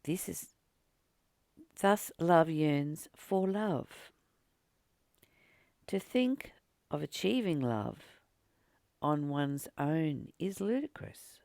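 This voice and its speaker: A woman leading a spiritual book study, reading aloud and reflecting in a deliberate, emphatic manner with pauses and repeated phrases. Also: sits low, big pitch swings, creaky, warm tone, dry recording, studio quality. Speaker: spiritual teacher and channel host.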